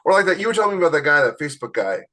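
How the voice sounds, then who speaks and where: A man co-hosting a podcast in casual conversation, chatty, with quick interjections and emphatic repeats. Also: on the high side, big pitch swings, sharp tone. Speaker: author and podcast co-host.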